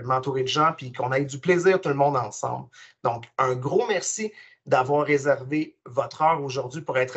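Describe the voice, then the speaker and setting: A man's voice: fairly high pitch, fairly bright tone, slightly rough, highs cut off. A man with a Québécois French accent, hosting a webinar over a video call in an enthusiastic, friendly way. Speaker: webinar host. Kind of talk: casual webinar presentation.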